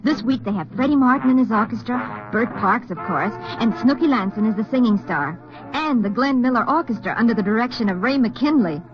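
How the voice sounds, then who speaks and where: An actress playing a cheerful, chatty housewife in a gushing 1950s radio promo. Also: female voice, fairly high pitch, animated, a little fast, muffled recording, natural voice. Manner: gushing, bubbly. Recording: vintage radio recording with music behind.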